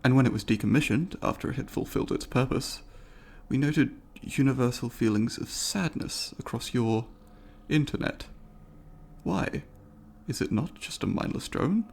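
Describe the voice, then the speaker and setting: A man voicing a curious, courteous alien researcher in an ASMR roleplay, speaking formally and deliberately, with academic wording and a gravelly voice. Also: medium pitch, expressive, darkish tone. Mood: intrigued, questioning. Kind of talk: ASMR roleplay, scripted monologue to the listener.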